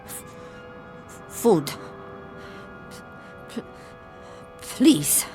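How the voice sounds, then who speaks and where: Starving, suspicious woman soldier for radio drama; slow, halting delivery in echoing reverb. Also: on the low side, very animated, warm tone, faint music behind, heavily acted. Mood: faltering, pleading. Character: wary, starving enemy woman soldier in a ruined world. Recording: roomy echo with long reverb.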